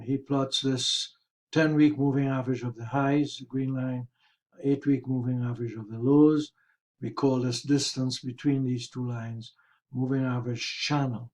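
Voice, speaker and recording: Male market commentator, dark mellow tone, a little raspy and hoarse, careful hesitant explanatory delivery. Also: mid-range voice. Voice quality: slightly raspy. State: hoarse, throat-clearing. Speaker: host of a stock market review.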